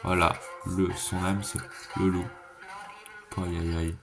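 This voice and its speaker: A man's voice: low voice, monotone, takes it slowly, music in the background. A man giving a casual, slangy reaction commentary, thinking aloud and repeating himself. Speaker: reaction video creator. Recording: clearly noisy background.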